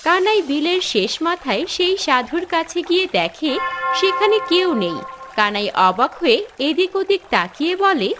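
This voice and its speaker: A woman narrates a scripted children's fairy tale in a high voice. Her pitch moves in an animated way, with music clearly playing underneath. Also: unhurried. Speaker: story narrator.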